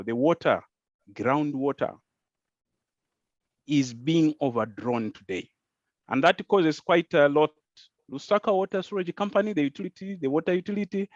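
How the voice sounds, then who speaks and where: A man from Zambia with a Zambian accent answers as a panelist, explaining deliberately and posing rhetorical questions. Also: a little high, no room sound, highs cut off. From rural Zambia.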